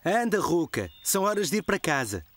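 A woman's voice: fairly low pitch, big pitch swings, a little fast. A woman playing an easygoing, good-humoured parent in a children's cartoon, with a European Portuguese accent. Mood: calling.

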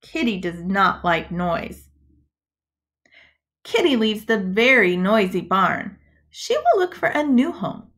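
A woman schoolteacher reads a picture book aloud to children. Her voice sits a little high and swings widely in pitch. She takes her time, speaking deliberately and expressively for young listeners. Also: fairly bright tone. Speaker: schoolteacher reading to pupils. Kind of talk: storybook read-aloud for children. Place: indoors, webcam screen recording.

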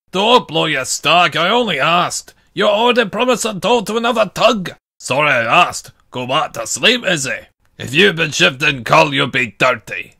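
A man's voice: fairly high pitch, creaky, bright, forceful tone, dry recording, studio quality, heavily acted. A man voicing a snide, bickering cartoon tugboat.

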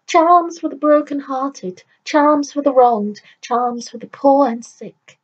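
A woman voicing an old crone who sells charms, sly and cackling, with high shrill hollering. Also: unhurried, breathy edge. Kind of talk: storybook reading. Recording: muffled recording.

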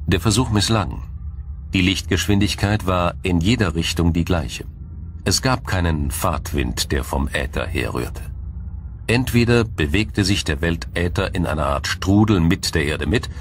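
A man narrating a scripted science documentary in a measured, formal, explanatory manner. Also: deep voice, animated, a little hiss or hum.

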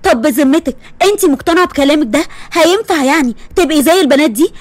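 A woman's voice: sits high, animated, quick pace, no room sound. Woman voicing a blunt, sarcastic young Egyptian woman. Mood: exasperated. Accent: Egyptian Arabic. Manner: exasperated, sarcastic.

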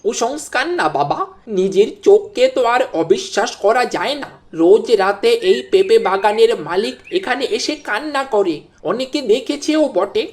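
A woman's voice: fairly high pitch, lively intonation, normal speed, natural voice. A woman voicing a cautious, deferential older village uncle in a cartoon. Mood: warning. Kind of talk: cartoon voice acting. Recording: very loud music behind the voice.

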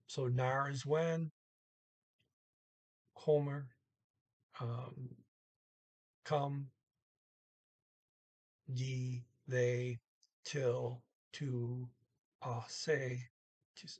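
An older man in his sixties teaches a language in an informal way. His voice is husky and he moves slowly, setting words apart with deliberate pauses. His pitch sits in the middle for a man. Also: a little mellow. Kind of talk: informal Norwegian lesson, word-by-word glossing. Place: small room indoors at home. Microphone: webcam or computer mic at arm's length.

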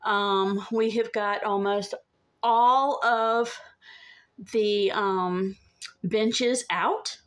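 A middle-aged woman gives a casual, chatty update to camera, pausing often as she goes. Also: medium pitch, steady tone, fairly bright tone. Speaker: vlogger. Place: inside an empty converted school bus. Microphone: phone at arm's length.

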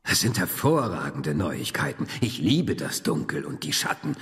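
A man playing a courtly, menacing old vampire count in a German radio horror drama, speaking formally and deliberately. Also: rumbling low, sing-song, a bit bright, exaggerated voice. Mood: eager, brooding. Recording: long reverberant hall.

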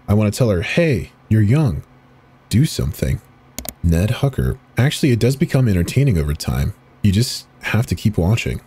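A man with a very husky, breathy voice reads in a slow, deliberate, ominous way. His pitch sits low but swings widely as he leans into each line, like a dramatic horror reading. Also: warm tone. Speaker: channel host reading horror texts.

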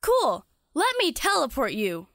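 A bubbly, dramatic girl princess from a kids' comic , played by a woman. The voice is high and extremely animated, perky and gushing. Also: a little slow, no echo, clean studio sound, exaggerated voice. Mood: breezy. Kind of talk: children's comic read-aloud.

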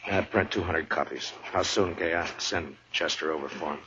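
An actor playing a weary, sardonic US marshal with an American accent, terse and dry, in a 1950s radio western. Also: male voice, sits low, moderate pace, lightly acted. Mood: businesslike. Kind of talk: 1950s radio drama acting. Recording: muffled, noisy old radio recording.

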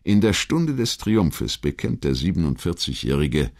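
Male narrator for a history documentary: low register, measured and articulate scripted storytelling. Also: big pitch swings, darkish tone.

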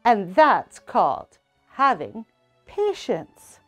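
A woman voicing a patient, loving mother rabbit in a storybook, explaining in a gentle, measured manner. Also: mid-range voice, huge pitch swings, faint music behind, full character voice.

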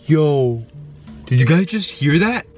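A man in his twenties filming an excited vlog from his parked car, talking fast and exclaiming often. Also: on the high side, dull audio, soft background music. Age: 20s. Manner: hyped, exclamatory, lots of yo and oh my god. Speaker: YouTuber. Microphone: camera facing him in car.

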